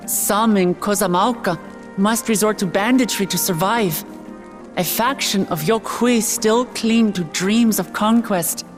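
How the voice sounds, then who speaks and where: A woman plays a young princess in a video game, speaking to her nation. Her mid-pitched voice is sincere and measured, an earnest heir with hope for her people, with music clearly behind. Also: lightly acted. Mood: hopeful, resolute. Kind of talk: heartfelt public speech in a video game cutscene.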